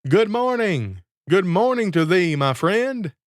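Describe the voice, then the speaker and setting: A man's voice: high voice, big pitch swings, takes it slowly, no echo, voice pushed up, exaggerated voice. Give the storybook gardener , as acted by a male narrator, a lifted, proclaiming voice. He is a sly man playing the lofty sage, grandiose and archaic in every phrase. The sound is close and dry. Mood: hailing. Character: crafty gardener in a sack, posing as grand sage. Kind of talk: fairy tale storytelling.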